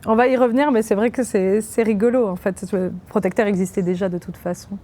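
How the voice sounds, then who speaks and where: A woman hosting a podcast interview, asking questions in a relaxed, friendly way. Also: mid-range voice, fast talker. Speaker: podcast host.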